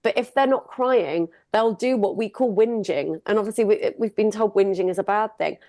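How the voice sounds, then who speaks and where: A woman's voice: mid-range voice, normal speed, darkish tone, dry recording, studio quality. A middle-aged woman from the UK, a singer and sound healer, talking casually and upbeat in an interview. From United Kingdom. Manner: upbeat, chatty, laughs often.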